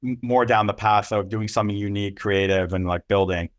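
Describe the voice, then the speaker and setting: A man's voice: fairly low pitch, fairly even intonation, a little fast. A young man from Israel, answering interview questions casually and loosely, with many ums and restarts. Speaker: agency co-founder and CEO.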